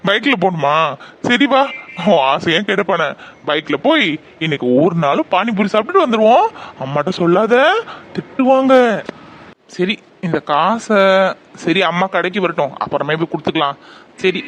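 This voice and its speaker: A man's voice: high-pitched, fairly bright tone, heavily acted. Man playing a doting cheerful father. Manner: cheerful and indulgent. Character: doting playful young father.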